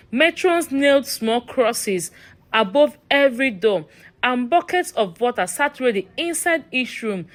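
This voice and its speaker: A woman narrating a scripted horror story in a dramatic, suspenseful manner. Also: fairly high pitch.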